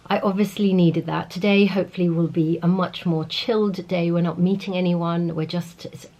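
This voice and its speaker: A woman's voice: on the low side, fairly even intonation, moderate pace, mellow, highs cut off. A middle-aged woman vlogging casually about makeup, chatty and enthusiastic, still congested from a cold. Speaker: beauty vlogger. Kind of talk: casual vlog talk to camera.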